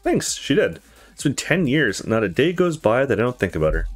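A man's voice: medium pitch, very animated, fairly quick, soft background music. Man playing a cocky guy with swinging intonation. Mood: calm, reflective. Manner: brash, casual. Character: cocky, playful man who likes sparring and guns.

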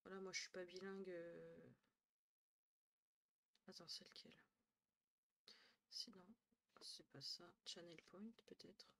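A tired young woman from northern France chatting casually with her stream viewers, thinking aloud, swearing now and then and dropping to quiet murmurs, recorded on a headset at home. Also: on the low side, level tone, slow pace, gravelly, long pauses. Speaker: Twitch streamer. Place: small room at home, indoors.

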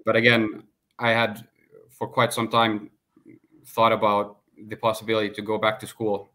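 Flat-voiced man from Finland on video call. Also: lowish voice. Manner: measured, flat delivery with filler pauses. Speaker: guest, sports consultant. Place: home room, indoors. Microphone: laptop webcam on video call.

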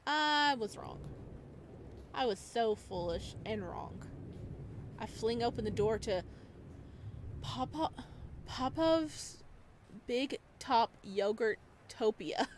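A woman's voice: fairly high pitch, animated, takes it slowly, long pauses, slight background noise. A woman hosting a gaming video, reading visual novel narration expressively with casual asides.

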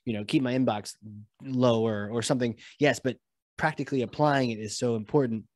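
A man hosting a marketing podcast interview over a video call with a boom-arm mic, enthusiastic and quick to react. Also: fairly even intonation, a little mellow, no room sound, studio quality. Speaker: podcast host. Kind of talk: conversational interview. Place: home office, video call.

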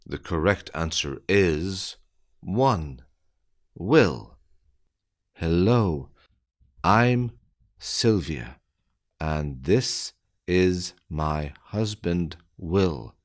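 A man with a low, deep voice reads out an English listening quiz. He speaks extremely slowly, setting each phrase apart so that learners can follow every word. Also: expressive. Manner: deliberate, evenly spaced phrasing for learners. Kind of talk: slow scripted quiz reading.

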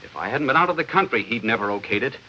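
Bossy indignant executive from a 40s comedy movie, forceful voice, bit of hiss. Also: male voice, medium pitch, lively intonation, racing. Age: middle-aged. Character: indignant, domineering male company boss and attorney. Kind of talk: 1940s Hollywood comedy film acting. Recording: muffled 1940s film soundtrack, noisy hiss.

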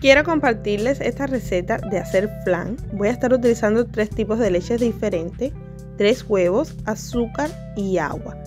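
Relaxed young woman talking through her decor vlog, mid pitch, easygoing fillers. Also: moderate pace. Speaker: home decor vlogger. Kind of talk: casual voice-over vlog narration. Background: soft background music.

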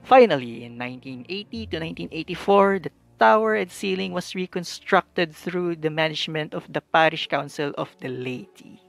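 A man's voice: on the high side, animated, moderate pace, gravelly, hardly any pauses. A young man with a Filipino accent giving a scripted student presentation as narration. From Philippines. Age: young adult. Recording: music behind the narration. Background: background music.

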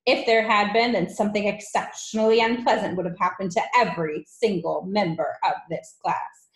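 A woman's voice: fairly even intonation, moderate pace, roomy sound, close to an everyday voice, rough recording. A woman voicing a huge, bullying headmistress in a children's audiobook, contemptuous and explosive, barking out orders and insults. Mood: menacing. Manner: barking, booming, shouted insults.

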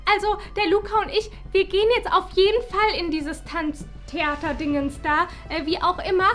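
A woman's voice: very high-pitched, fairly even intonation, moderate pace, fairly bright tone, faint music behind. A young woman playing a pushy, sugary-sweet, self-centred roommate in a comedic web drama, gushing and insistent. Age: young adult. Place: living room indoors.

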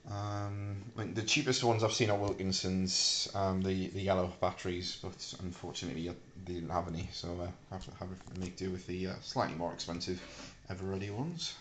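A middle-aged British man casually demonstrating a homemade device in a hesitant, halting, unscripted way. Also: deep voice, fairly even intonation. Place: small hard-walled room indoors. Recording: echoey room, clicks and faint hiss.

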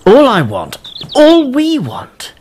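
A man's voice as a flustered baron and father in a radio drama. He is halting and slow, with wild swings of pitch. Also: huge pitch swings, a little mellow, slight background noise, full character voice. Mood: pleading. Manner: halting, exasperated.

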